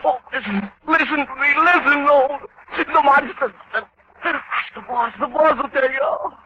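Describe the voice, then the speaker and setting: A high, trembling voice of a meek hunted man, stammering and begging in panic. It comes through a dull, muffled old radio recording with steady hiss underneath. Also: measured pace. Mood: terrified. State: frightened, near tears. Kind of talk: 1940s radio acting.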